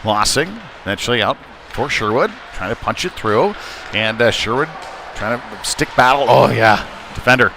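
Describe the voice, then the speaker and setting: A man doing live play-by-play ice hockey commentary in short, halting, conversational phrases. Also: medium pitch, expressive, sharp tone. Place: indoor ice rink. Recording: noisy live arena stream, rink ambience. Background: clear rink noise and ambience.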